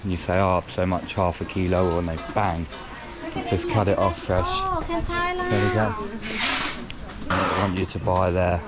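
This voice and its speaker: A man's voice: low voice, sing-song, a little slow, pauses often, loud music behind the voice. A man chatting casually in a travel vlog, rambling and restarting his sentences as he goes. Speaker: travel vlogger. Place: outdoors on busy city streets and in a tuk-tuk. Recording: lo-fi with loud street traffic noise.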